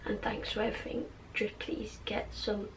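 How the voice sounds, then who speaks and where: A woman voicing an injured, tired, caring teenage boy wizard from a story, quietly and haltingly. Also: mid-range voice, little pitch movement, slight background noise, natural voice, rough recording. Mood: tired, gentle.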